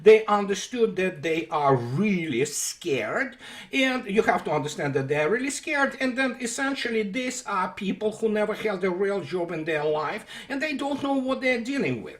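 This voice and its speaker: High-voiced man with a sarcastic rant. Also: expressive, moderate pace. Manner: sarcastic, emphatic, many fillers and restarts. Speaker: political commentator. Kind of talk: unscripted monologue commentary.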